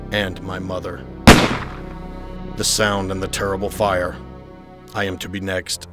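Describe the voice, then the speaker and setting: A man voicing a brooding, haunted young gentleman heir in a motion comic, formal, solemn and deliberate. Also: deep voice, flat delivery, over loud music. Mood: haunted, somber. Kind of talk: dramatic motion-comic acting and diary narration.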